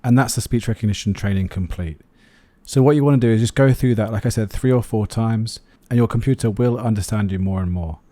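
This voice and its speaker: Mellow-voiced man narrating a review. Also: fairly low pitch, brisk, no echo. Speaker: flight sim video host, reviewer. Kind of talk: scripted product review narration.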